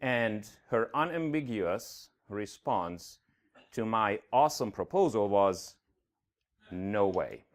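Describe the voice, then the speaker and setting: A man's voice: on the low side, animated, takes it slowly. Hungarian-accented man giving a conference talk. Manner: earnest, with frequent uh fillers. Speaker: conference speaker. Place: conference venue stage.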